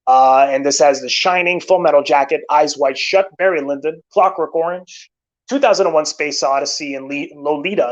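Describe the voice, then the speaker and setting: A man's voice: medium pitch, lo-fi sound. A man hosting a live film channel stream, chatting casually with many fillers and restarts, tired and losing his voice.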